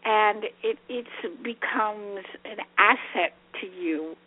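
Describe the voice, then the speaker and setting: Older woman host on a phone line. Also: mid-range voice. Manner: reflective, talks of energy, slow halting phrasing. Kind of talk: phone interview. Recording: narrow telephone line.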